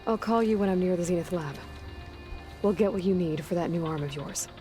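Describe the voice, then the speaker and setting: An actress playing a resourceful young woman hunter who talks in a direct, practical, steady way in a video game cutscene. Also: fairly low pitch, fairly quick, faint music behind, close to an everyday voice. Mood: calm.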